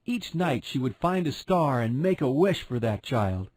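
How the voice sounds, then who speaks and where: Animated man reading a fairy tale. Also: mid-range voice, unhurried. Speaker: storyteller narrator. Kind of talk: scripted fairy tale narration.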